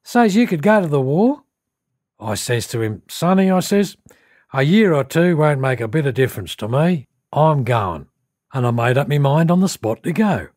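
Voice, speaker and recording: Man playing a grizzled, animated Australian old soldier. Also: fairly high pitch, moderate pace, dry recording, studio quality, pitched above the performer's own voice. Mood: animated, determined. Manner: folksy, animated yarn-spinning. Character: grizzled older Australian soldier, proud, plain-spoken and game. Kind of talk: audiobook character acting.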